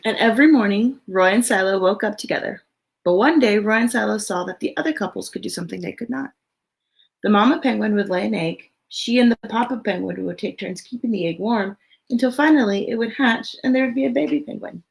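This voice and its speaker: A woman with a noticeably creaky, mid-pitched voice reads a children's picture book aloud. She speaks simply and emphatically for young listeners, in a storytime style that slips easily into casual chat. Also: a little fast, rough recording.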